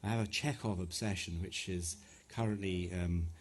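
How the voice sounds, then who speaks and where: A man's voice: deep voice, fairly even intonation, moderate pace. A middle-aged man from West Africa, an author answering audience questions at a live talk in a thoughtful, hesitant way with many restarts. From West Africa (Ghana, Nigeria). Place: event venue before an audience.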